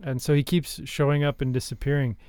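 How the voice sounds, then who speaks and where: A man co-hosting a comedy podcast, chatting casually in a deadpan, mock-earnest way. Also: medium pitch, a little fast, smooth. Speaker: podcast co-host.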